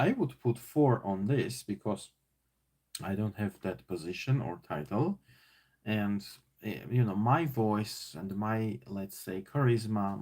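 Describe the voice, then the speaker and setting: A man with an Armenian accent talks through a leadership workbook, musing aloud with plenty of fillers and restarts. His voice sits on the low side and carries a gravelly, slightly husky grain. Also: mellow. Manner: thinking aloud, many fillers and repeats. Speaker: teacher working through a leadership book. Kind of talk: casual self-assessment talk.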